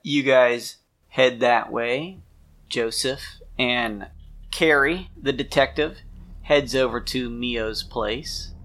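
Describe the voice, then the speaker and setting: A man's voice: medium pitch, slow pace, a bit bright. A man narrating a tabletop role-playing game as game master, improvising scenes in the second person.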